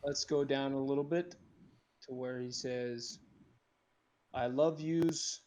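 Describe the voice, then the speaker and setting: A young man speaking informally and haltingly as he hosts and narrates an online group script read. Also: medium pitch, steady tone, a little mellow. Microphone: computer mic with headphones on a group call.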